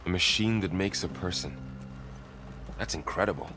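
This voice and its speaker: A man playing a gentle, curious warrior companion in a video game, thoughtful and full of wonder. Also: sits low, normal speed, close to an everyday voice. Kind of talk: game cutscene drama. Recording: music behind the voice.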